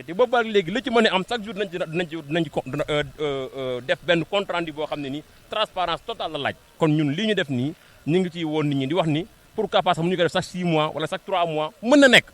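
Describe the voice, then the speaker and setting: Senegalese male, higher register, rapid delivery, exhortative impromptu speaker. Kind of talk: impromptu speech mixing Wolof and French.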